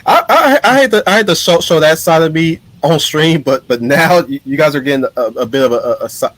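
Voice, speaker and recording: A man's voice: a little high, brisk, a bit bright, no room sound. A man hosting a live stream in casual chat, blunt and given to repeating words as he starts his sentences. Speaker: stream host.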